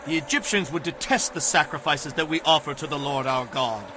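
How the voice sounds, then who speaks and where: An actor playing a firm, solemn Hebrew prophet in a dramatized audio Bible, speaking in earnest declarations. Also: male voice, fairly high pitch, brisk, noisy background, lightly acted. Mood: firm, warning.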